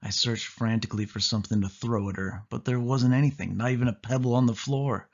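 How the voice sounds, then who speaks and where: A man reads a spooky storybook aloud in the first person. His pitch sits in an average male range, and his delivery is expressive and suspenseful. The recording is dull and a little lo-fi. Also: fairly quick. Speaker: audiobook narrator.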